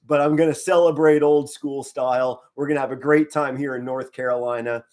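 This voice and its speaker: Hoarse middle-aged male fan commentator. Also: brisk. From Pennsylvania. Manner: subdued and low-key, with bursts of excitement. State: hoarse, straining to save his voice. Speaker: fan commentator on a live stream. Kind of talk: casual live play-by-play commentary.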